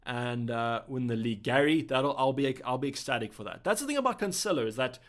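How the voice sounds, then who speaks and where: Male streamer from South Africa, relaxed and chatty, mid pitch, thinks aloud with fillers and restarts. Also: a little fast. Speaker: livestream host. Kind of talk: casual chat answering viewer questions.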